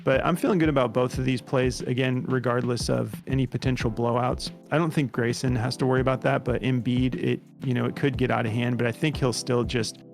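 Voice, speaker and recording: Gravelly-voiced man talking betting picks. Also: mid-range voice. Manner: relaxed, lots of fillers and restarts. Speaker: sports betting podcast host. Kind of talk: casual sports betting picks talk.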